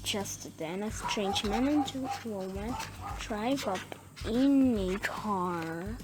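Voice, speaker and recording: A woman reading a children's bedtime story aloud in short, halting phrases, word by word. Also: medium pitch. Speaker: story reader. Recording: music playing behind the voice. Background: music.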